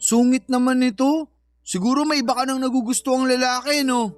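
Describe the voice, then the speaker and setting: A Filipino actor playing a slow-witted, jealous working-class boyfriend in an audio drama, whiny, plain-spoken and accusing. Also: male voice, very high voice, steady tone, faint music behind. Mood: peeved. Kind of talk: Filipino radio-style drama acting.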